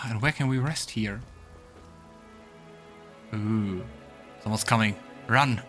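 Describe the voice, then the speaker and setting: A man's voice: on the low side, slow pace, a bit bright. Man doing gameplay commentary over faint music. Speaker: let's play host. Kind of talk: casual gameplay commentary, reading game text aloud. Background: faint game music.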